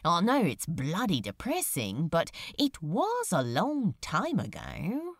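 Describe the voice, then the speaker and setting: A woman's voice: lowish voice, wildly swooping pitch, slow, dry recording, studio quality, heavily acted. Woman putting on a swooping, playful male demon. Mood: teasing, consoling. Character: playful, breezy male demon, affectionate and teasing.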